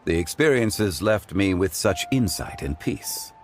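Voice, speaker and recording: A man playing a calm, refined antiques expert in a video game, with measured, courtly and formal speech. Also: low-pitched, soft background music. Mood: calm, courteous. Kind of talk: scripted video game voice acting.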